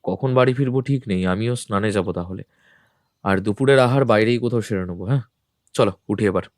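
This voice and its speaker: Man acting a composed, low-voiced Bengali detective. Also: fairly quick, no echo, studio quality, close to an everyday voice. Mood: composed, decisive. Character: composed, incisive male Bengali detective, a self-styled truth-seeker. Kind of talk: Bengali audio drama acting.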